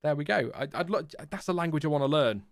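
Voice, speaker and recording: A man's voice: on the high side, expressive, fast talker, no room sound, studio quality. A British man hosting a casual race reaction, thinking aloud and rambling with many fillers. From Britain. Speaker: host.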